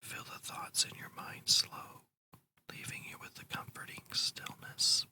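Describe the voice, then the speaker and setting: A host whispering a guided ASMR meditation in a breathy, slow, soothing and deliberate way.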